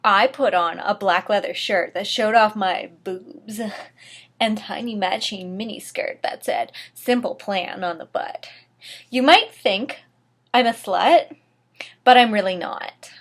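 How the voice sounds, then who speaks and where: A woman's voice: medium pitch, a little slow, a bit bright. A woman giving a mock-dramatic story reading, theatrical in delivery, with sarcastic asides. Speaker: reader of a comedic dramatic reading.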